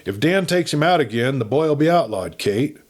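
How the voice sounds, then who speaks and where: A man's voice: mid-range voice, expressive, moderate pace, voice pushed up, natural voice. A man acts out a stoic old cattleman from a western storybook. He is a proud, protective father who talks firmly and sternly. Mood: grave, questioning.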